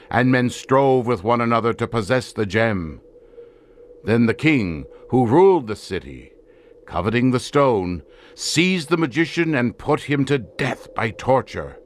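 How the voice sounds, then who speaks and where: A man's voice: fairly low pitch, slight background noise. An actor playing a grave desert tribesman who solemnly tells of an ancient curse in archaic, portentous language for an audio drama. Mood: dramatic, intense. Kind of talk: theatrical audio drama storytelling.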